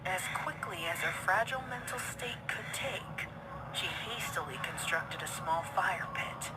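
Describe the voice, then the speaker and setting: A woman reading a horror story as narrator in a dramatic, suspenseful, breathy way. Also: fairly low pitch, animated, measured pace, heavy background noise. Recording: loud music under the voice.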